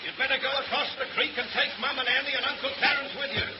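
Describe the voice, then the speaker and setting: A man's voice: extremely high pitch, level tone, fast talker. An actor playing a bossy, worried Australian country father in a 1930s radio serial, speaking urgently and giving orders. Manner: urgent, commanding. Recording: muffled, noisy 1930s radio recording.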